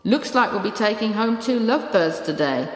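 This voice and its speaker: A woman's voice: medium pitch, expressive, moderate pace, soft background music, pitched above the performer's own voice, natural voice. Woman playing a kindly older British woman, echoey. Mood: amused. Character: kindly, wry older grey-haired woman adopting dogs. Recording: echoey room with long reverb.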